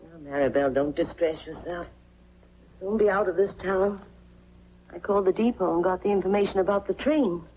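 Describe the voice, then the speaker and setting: An actress playing a composed, wry, middle-aged widowed mother, measured and soothing, in 1940s film style. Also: lowish voice, slightly rough. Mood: calm, soothing. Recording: dull 1940s film soundtrack.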